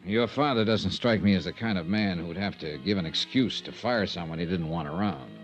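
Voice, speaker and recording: An actor playing a steady, probing insurance investigator in a 1950s radio detective drama, with terse, measured questions. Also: male voice, deep voice, faint music behind, natural voice. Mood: calm, probing. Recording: dull vintage radio recording.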